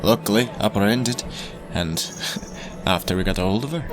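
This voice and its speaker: A man's voice: fairly low pitch, normal speed, music in the background, lightly acted. A man playing an affable, chatty fantasy priest who works his congregation with casual menace. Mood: grim relish. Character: smooth, folksy deacon priest working his congregation.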